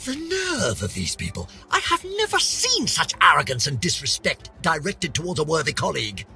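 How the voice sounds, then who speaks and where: A pompous British scientist, wildly animated. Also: male voice, fairly high pitch, normal speed, vocal fry, soft background music, heavily acted. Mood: outraged. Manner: pompous, haughty, florid, melodramatic. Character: pompous, vain, aging male scientist, indignant and obsessive. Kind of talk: theatrical game journal narration.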